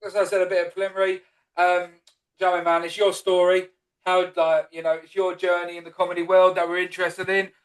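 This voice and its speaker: A man's voice: high voice, monotone, a little fast, poor audio. A man with a Cockney accent, from East London, hosting a casual interview, swearing freely and calling his guest brother and man. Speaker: podcast host.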